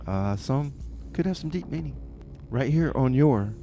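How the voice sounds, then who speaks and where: A man hosting a community radio music show with casual, rambling, tongue-in-cheek chat, often halting and repeating his words. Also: medium pitch, lively intonation, mellow.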